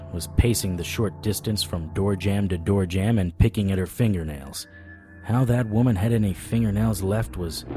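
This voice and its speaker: An actor playing a wry, hard-boiled occult investigator, narrating and speaking in a scripted audio drama. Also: male voice, low voice, lightly acted. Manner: wry, sardonic.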